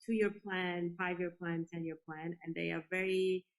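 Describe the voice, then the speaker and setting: A woman's voice: fairly low pitch, level tone, normal speed, low-quality recording. A woman from Poland giving earnest, reflective answers as a guest in a conversational interview. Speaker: interview guest, space industry executive.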